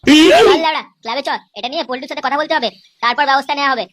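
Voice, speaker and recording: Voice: high-pitched, rapid-fire, a bit bright. A performer voicing a brash, swearing cartoon village pal, coarse and cocky. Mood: surprised, brash. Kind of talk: comic cartoon dialogue.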